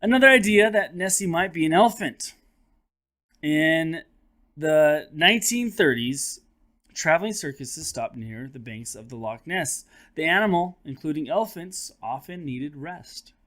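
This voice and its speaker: A man who teaches third grade reads a children's nonfiction book aloud in an expressive, teacherly manner, addressing his young listeners directly. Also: fairly high pitch, unhurried.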